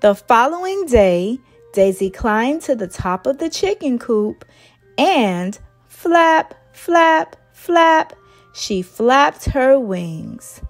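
Give it a woman storyteller with a high, very animated voice, reading for small children. She takes her time, very slowly and expressively, in a singsong way. Soft music plays behind her. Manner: slow, expressive, singsong delivery. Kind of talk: read-aloud storytelling for young children. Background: background music.

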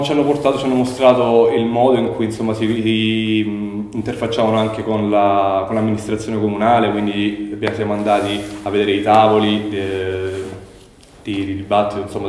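A young man in his twenties answering interview questions in a measured, hesitant way, with many fillers and restarts. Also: lowish voice, flat delivery, darkish tone. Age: 20s. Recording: very echoey bare room.

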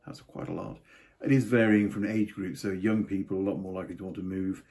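A man's voice: low voice, fairly even intonation, fast talker, vocal fry, a little mellow. An older man giving a casual market update to camera from a home study, with offhand asides. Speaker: property market commentator.

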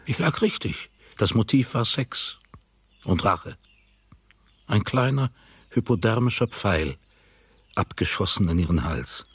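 An actor playing a grim tycoon bent on revenge in a radio drama, husky, grave and terse. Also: male voice, lowish voice, lively intonation, measured pace, long pauses, no room sound, full character voice.

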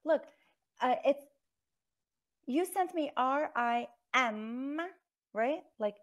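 Lively high-voiced American woman tutor. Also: drawn-out words. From United States. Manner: curious and patient, laughs easily. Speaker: English tutor. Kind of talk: online tutoring chat. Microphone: earphone mic on a video call.

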